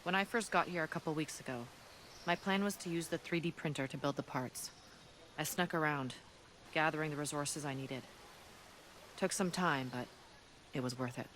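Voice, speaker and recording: An actor plays a battle-hardened resistance fighter from an apocalyptic future in a video game cutscene. The delivery is earnest, solemn and deliberate. Ambient noise is clearly audible behind the voice. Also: mid-range voice, fairly bright tone, close to an everyday voice. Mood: assured, explaining.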